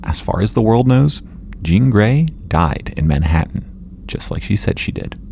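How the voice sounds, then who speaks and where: Mellow, gravel-edged scientist. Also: male voice, on the low side, lively intonation, unhurried, slight background noise. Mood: calm, helpful. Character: calm, accommodating male scientist doctor. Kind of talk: audio drama acting.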